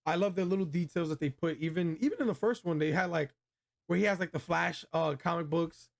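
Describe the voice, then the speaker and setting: A man with an American accent and a voice a bit above average in pitch. He hosts a relaxed film review, speaking in loose slang with plenty of enthusiasm. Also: fairly quick, gravelly. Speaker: host of a movie review show. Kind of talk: casual chat, film review.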